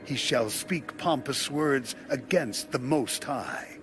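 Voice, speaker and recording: A man narrating an audio Bible in a solemn, dramatic, deliberate way. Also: medium pitch. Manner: measured, deliberate delivery. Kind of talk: solemn dramatic scripture reading. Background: music bed.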